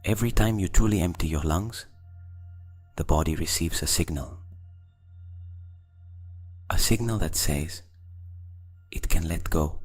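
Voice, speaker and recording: A man with a very low, husky voice leads a guided meditation. He reads slowly and deliberately, leaving long pauses between short phrases. Music plays behind him. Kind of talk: scripted guided meditation narration.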